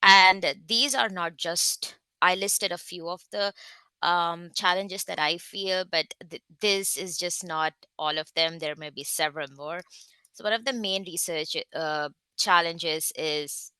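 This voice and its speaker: An Indian woman in her thirties presents a research talk over a video call. Her voice sits low but sounds bright and crisp, and the sound is dry with no room around it. She speaks carefully, often pausing on fillers. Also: dry recording, rough recording. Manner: careful, with frequent hesitant fillers. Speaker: guest speaker, research scientist. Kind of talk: online presentation with slides.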